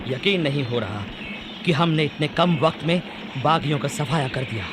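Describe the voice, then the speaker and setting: A man acting a calm military officer in a radio drama. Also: a little high, moderate pace, music in the background, close to an everyday voice. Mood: relieved.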